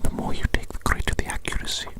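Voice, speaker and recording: An ASMR performer speaking in a breathy, unvoiced whisper, fussy and deliberate, repeating phrases in a roleplay.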